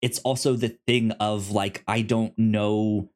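A man's voice: fairly low pitch, flat delivery, takes it slowly, a little mellow. A man co-hosting a podcast, casually discussing a TV series and often stammering over repeated syllables. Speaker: podcast co-host.

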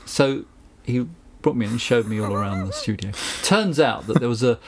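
A middle-aged man speaks with a Southern English accent, unhurried and conversational. He tells long stories in an interview, wanders into asides, and keeps an easy, anecdotal flow at a middling pitch. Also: lively intonation. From England. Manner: anecdotal, chatty, with frequent asides and laughs. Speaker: guest, recording engineer and producer. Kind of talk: relaxed interview storytelling.

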